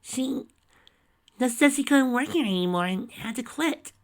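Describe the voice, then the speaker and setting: A man's voice: very high-pitched, takes it slowly, pitched above the performer's own voice. A man voicing a subdued, upset young woman in a story reading.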